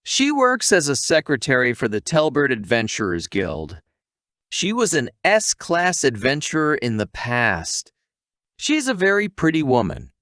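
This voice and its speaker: A man narrates a scripted anime recap at a leisurely pace. His pitch moves up and down in an animated way, and the sound is close and dry. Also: unhurried, no echo.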